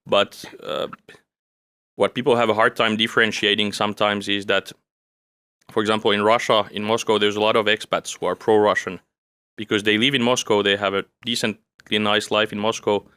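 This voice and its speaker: A young man from Finland, raised in France, talks through his background in an unhurried, measured way. His voice sits low and stays fairly flat, with a bright, clean tone. The recording is dry and close, with no room sound. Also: clear, resonant tone, no room sound. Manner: calm, measured, with frequent fillers. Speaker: guest, OSINT analyst. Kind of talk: casual interview conversation.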